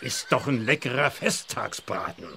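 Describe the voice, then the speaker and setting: Gravelly, grumpy old farmer. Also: male voice, medium pitch, expressive, moderate pace, pushed and bright, a little hiss or hum. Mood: gruff, dismissive. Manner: gruff, dismissive. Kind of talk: children's audio drama acting.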